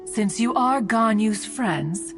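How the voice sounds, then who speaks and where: A woman's voice: a little high, animated, slow. A woman voicing a stately, haughty ancient female immortal in a video game, pompous and archaic yet fondly meddlesome. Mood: self-assured. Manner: pompous, archaic, calls herself "one". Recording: music under the voice.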